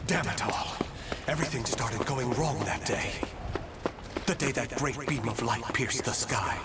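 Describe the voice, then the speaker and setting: A man's voice: mid-range voice, a little slow, heavy background noise, lightly acted. An actor playing a proud, embittered fugitive nobleman in a video game, with formal, theatrical, brooding diction. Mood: despairing. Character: proud, embittered fugitive French nobleman swordsman, weary and betrayed. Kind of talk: dramatic video game acting. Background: music.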